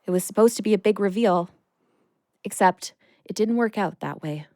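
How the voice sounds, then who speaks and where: A woman playing a wry, affectionate girlfriend in an audio drama, natural and expressive. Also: mid-range voice, moderate pace, no echo, lightly acted. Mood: flustered, teasing.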